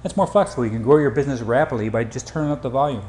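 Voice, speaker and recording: A man giving an informal sales presentation over slides, with hurried, clipped phrasing. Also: medium pitch, darkish tone. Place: indoor room, voice-over for slides. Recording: roomy echo, faint background noise.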